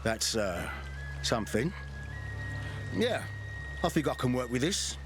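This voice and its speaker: An actor playing a cocky, fast-talking male treasure hunter in a video game, boastful and cheeky like a salesman. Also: mid-range voice, very animated, over music. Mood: hopeful, cheeky. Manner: boastful, wheedling salesman patter. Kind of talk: video game character acting.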